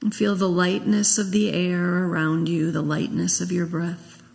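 A woman minister leading a guided meditation, speaking gently and in a measured way with long pauses. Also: fairly low pitch, little pitch movement, creaky. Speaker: minister leading a memorial service. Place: large church sanctuary, at a pulpit. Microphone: gooseneck pulpit microphone. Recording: long echo of a church hall.

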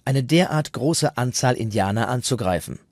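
A man's voice: mid-range voice, expressive, moderate pace, darkish tone, no echo. A man reading scripted documentary narration as the narrator. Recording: music playing behind the voice. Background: music.

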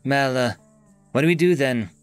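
A woman's voice: extremely low pitch, moderate pace, soft background music. A man voicing a tough, wary female alien warlord who talks sharply and suspiciously. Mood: nervous.

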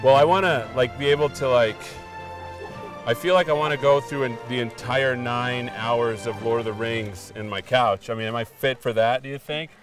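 A man's voice: mid-range voice, normal speed. A middle-aged man hosting a travel visit, chatting casually in a playful, self-deprecating way. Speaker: show host on a travel visit. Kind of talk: casual joking chat. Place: on location, outdoors and at a dining table. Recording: music behind the voice.

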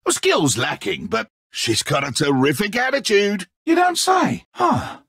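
An actor playing a petty, egotistical, godlike villain in a video game, smooth and theatrical, with condescending mock friendliness. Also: male voice, high voice, wildly swooping pitch, unhurried, a bit bright, no room sound, studio quality, exaggerated voice. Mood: smug, mocking.

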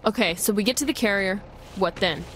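A woman's voice: mid-range voice, a little fast, fairly bright tone, a little hiss or hum, lightly acted. An actress playing a poised, sardonic woman gangster in a video game, dry and sarcastic.

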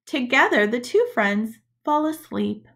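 A woman schoolteacher reads a picture book aloud to children. Her voice sits a little high and swings widely in pitch. She takes her time, speaking deliberately and expressively for young listeners. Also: very animated, vocal fry, a bit bright. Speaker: schoolteacher reading to pupils. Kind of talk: storybook read-aloud for children. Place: indoors, webcam screen recording.

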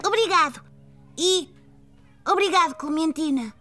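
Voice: very high-pitched, unhurried. A performer voicing a naive four-year-old boy with a childlike European Portuguese voice in a children's cartoon. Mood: friendly. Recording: music behind the voice.

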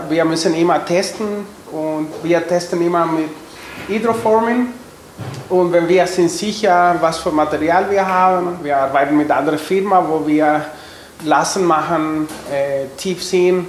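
Man in his forties, Chilean Spanish accent, slightly high voice, hesitant non-native phrasing, explaining a craft, echoey workshop. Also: slight background noise. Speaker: instrument maker and musician. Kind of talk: explaining a craft process to camera. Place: indoor production workshop.

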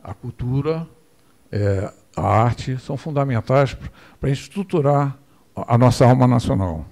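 An older Brazilian lecturer speaks from a podium microphone in an indoor hall, his voice mellow and dark. He wanders into asides and keeps asking for the next slide. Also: medium pitch, measured pace, creaky. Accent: Brazilian Portuguese. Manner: digressive, asks for the next slide. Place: hall with projector screen, indoors.

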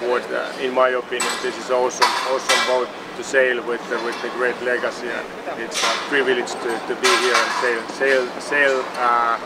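A Finnish-accented man in his forties talks keenly about sailing, stumbling and doubling words as he looks for the next one. His pitch is middling and steady. Loud outdoor noise sits behind him. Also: a little fast, few pauses. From Finland. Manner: repeats words, searching, enthusiastic. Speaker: sailing crew member. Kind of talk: self-introduction to camera. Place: outdoors on a yacht in a marina.

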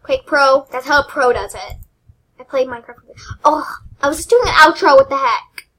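A young woman hosting her own game channel, chatting casually and rambling with giggles while she plays, her voice squeaky and often dropping to a mutter. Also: brisk, bright, forceful tone. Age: teens. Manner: rambling, giggly, self-deprecating. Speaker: gaming channel host. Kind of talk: casual gameplay commentary.

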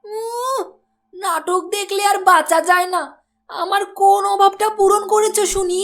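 A woman's voice: very high voice, steady tone, a little slow, fairly bright tone, faint music behind, heavily acted. A woman voicing a nagging, scornful wife in a cartoon who scolds her husband. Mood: scolding. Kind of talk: cartoon voice acting.

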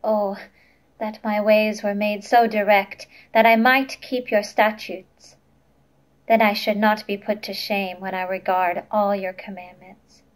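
Slow, careful young woman reading scripture. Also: medium pitch, poor audio. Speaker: lay reader.

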